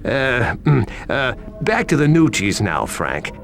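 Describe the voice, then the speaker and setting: An actor playing a sarcastic, chatty interrogator in a video game. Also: male voice, mid-range voice, sing-song, slow pace, gravelly, fairly bright tone, soft background music, full character voice. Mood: mocking. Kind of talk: video game cutscene acting.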